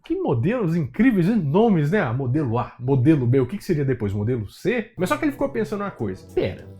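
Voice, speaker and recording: A man's voice: a little high, huge pitch swings, fast talker, mellow, faint music behind. A young Brazilian man hosting a casual explainer, telling a story in a chatty, jokey way with rhetorical questions. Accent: Brazilian Portuguese. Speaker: YouTube host.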